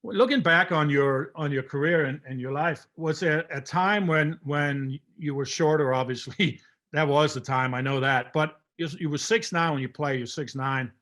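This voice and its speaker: A sixty-year-old man from Denmark hosts a relaxed interview over a video call, talking through a headset at home. His voice sits fairly high for a man, and he is friendly and chatty, often repeating words as he goes. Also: normal speed, few pauses. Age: 60s. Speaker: podcast host. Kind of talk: casual interview. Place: home room.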